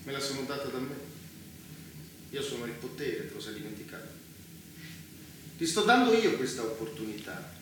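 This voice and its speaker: An actor playing a ruthless, self-righteous warlord in a dystopian stage drama, speaking in grandiose, taunting phrases with dramatic pauses. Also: male voice, medium pitch, lively intonation, natural voice. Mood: coldly resolute. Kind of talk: intense theatrical stage acting. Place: theatre stage, recorded from afar. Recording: echoey theatre, distant mic, loud noise.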